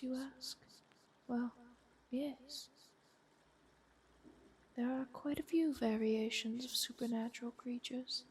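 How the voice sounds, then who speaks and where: A woman voicing a refined, haughty ancient vampire countess in an ASMR roleplay, speaking in a soft, breathy half-whisper with poised, wry, formal phrasing. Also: on the high side, heavily acted, poor audio. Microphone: close binaural microphone. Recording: close binaural mic with clear hiss.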